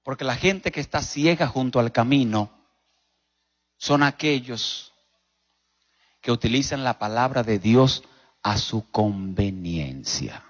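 A man with a Dominican accent preaching a sermon as a pastor, telling stories emphatically with rhetorical questions and dramatic pauses. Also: mid-range voice, expressive, slightly rough. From Dominican Republic. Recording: dull recording in a reverberant hall.

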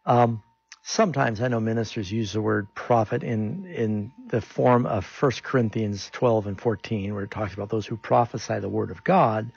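A man with a gravelly, husky voice hosting a call-in Bible radio show. He explains answers conversationally, and his sound is dry and a bit muffled. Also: on the low side, normal speed, darkish tone, no room sound.

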